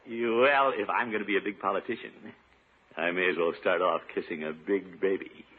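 An actor playing a flustered, blustering middle-aged commissioner in a 1950s radio sitcom, stammering and bluffing. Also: male voice, deep voice, steady tone, normal speed, lightly acted. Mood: bashful, hopeful. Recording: noisy narrow-band 1950s radio recording.

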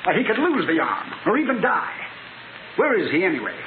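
An actor playing an excitable, fussy older town doctor in a 1950s radio western. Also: male voice, high-pitched, a little fast. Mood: alarmed. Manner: fussy, emphatic, exclaiming. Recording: tinny, very noisy old radio recording.

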